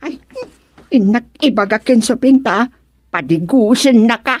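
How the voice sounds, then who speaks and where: A woman's voice: fairly high pitch, expressive, a little slow, a little mellow, husky. A woman playing a chatty, teasing village woman in an Ilocano radio comedy. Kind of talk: Ilocano radio drama acting.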